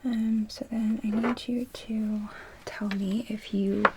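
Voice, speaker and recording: Softly whispering ASMR woman. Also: a little high, flat delivery, slow pace, a little hiss or hum. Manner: gentle, orderly, asking intake questions in short phrases. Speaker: ASMR roleplayer playing a hospital receptionist. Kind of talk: soft whispered ASMR medical roleplay.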